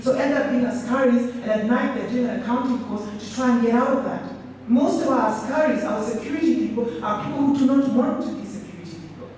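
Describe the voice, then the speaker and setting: A woman's voice: fairly high pitch, a little mellow. Earnest woman speaking in an echoey hall. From Kenya. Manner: earnest, repeats and restarts words, reflective. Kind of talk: public speech. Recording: echoey hall, speaker far from mic, faint noise.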